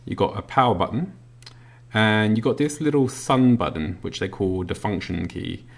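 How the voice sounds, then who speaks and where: A man's voice: fairly low pitch, moderate pace, gravelly. A man giving a casual, unscripted product review in a hesitant, matter-of-fact way, indoors at a table. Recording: noisy home recording with clicks.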